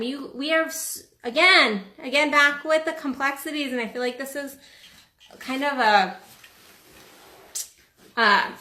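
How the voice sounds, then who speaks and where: A woman's voice: fairly high pitch, bright, forceful tone. A woman life coach talking in a casual livestream monologue, rambling and earnest, with frequent restarts and the odd swear word. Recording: roomy echo.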